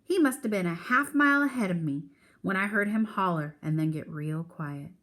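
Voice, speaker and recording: A woman's voice: lowish voice, expressive, normal speed, fairly bright tone. A woman voicing a proud old Southern country man spinning a folksy yarn in a storybook read-aloud. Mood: earnest storytelling.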